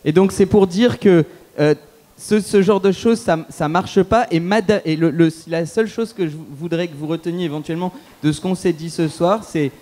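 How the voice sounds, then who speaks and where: A man's voice: high voice, normal speed, darkish tone. A man speaking on a conference panel, thinking aloud with frequent restarts.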